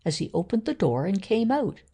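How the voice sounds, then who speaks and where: A woman's voice: mid-range voice, sing-song, normal speed, dark tone, breathy edge. A woman narrating a children's story from a script as a storyteller.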